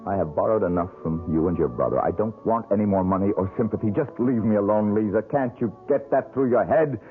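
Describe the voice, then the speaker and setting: An actor playing a proud, bitter, down-and-out young painter in a 1970s radio drama, speaking curtly. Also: male voice, fairly low pitch, brisk, faint music behind, close to an everyday voice. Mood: angry. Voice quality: bitter, curt. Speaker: struggling painter. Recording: tinny lo-fi old radio broadcast.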